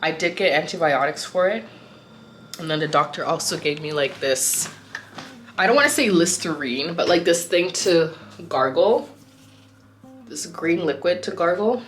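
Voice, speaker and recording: A woman's voice: lowish voice, expressive, normal speed, long pauses, roomy sound, a little hiss or hum. A young woman vlogging casually to camera, chatty and rambling, with a sore throat that makes her sound slightly nasal. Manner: chatty, rambling, self-correcting. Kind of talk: casual vlog chat to camera.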